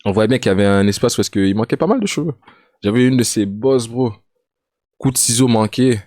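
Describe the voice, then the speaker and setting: A young man, a reaction streamer, telling a story in casual, slangy with lots of restarts and sudden bursts of excitement. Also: mid-range voice, fairly quick, no echo, studio quality. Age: young adult.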